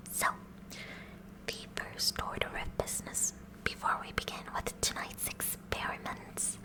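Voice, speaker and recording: Casting: a woman to play an oddball, eager schoolgirl science president, fully whispered, unhurried and officious, for an ASMR roleplay. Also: close to an everyday voice, rough recording. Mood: smug, matter-of-fact. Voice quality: breathy, unvoiced whisper. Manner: slow, deliberate, mock-official with awkward stammers. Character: kooky, eager teenage girl science club president.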